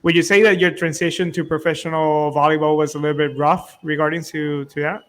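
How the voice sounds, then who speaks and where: A man's voice: a little high, steady tone, fairly quick. A man with as his hosting a casual interview, hesitant and searching for words. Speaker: interview host.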